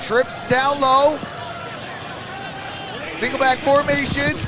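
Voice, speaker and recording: Very high-pitched man calling football plays. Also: level tone, slow pace, crowd noise, background noise. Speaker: livestream host doing play-by-play fan reaction. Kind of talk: live sports commentary, reading stats and chat.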